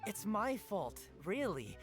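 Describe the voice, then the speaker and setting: A man's voice: high voice, wildly swooping pitch, takes it slowly, over music, full character voice. A man playing a polite, flustered young newspaper seller in a video game, apologetic and hesitant. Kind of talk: video game dialogue acting.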